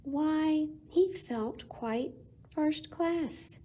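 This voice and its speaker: A woman reading a picture book aloud to children as a storytime reader, with expressive delivery and dramatic pauses. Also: high-pitched.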